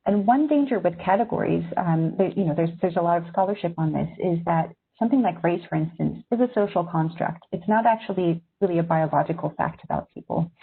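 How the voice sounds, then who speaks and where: A woman's husky, slightly low voice comes through thin, phone-like video-call audio. She grew up in Syria and speaks as a magazine editor, giving a reflective webinar talk to journalism students and weighing each point carefully. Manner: thoughtful, reflective.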